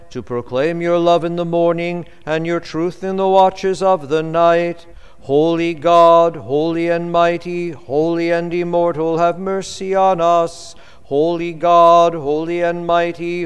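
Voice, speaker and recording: A man's voice: fairly high pitch, smooth. Man chanting prayers in a long-echo hall. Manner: slow intoned chanting. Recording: long reverb of a large hall.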